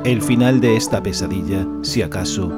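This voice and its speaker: Actor as male narrator, low pitch, sober, scripted radio drama narration, loud guitar music. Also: normal speed, natural voice. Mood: grave. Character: sober male narrator recounting an unjust arrest.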